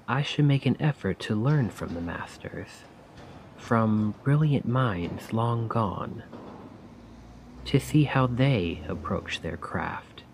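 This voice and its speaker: A soft-spoken man playing a melancholy, self-doubting poet in an ASMR roleplay, musing wistfully in formal, poetic words with a breathy voice. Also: fairly low pitch, full character voice.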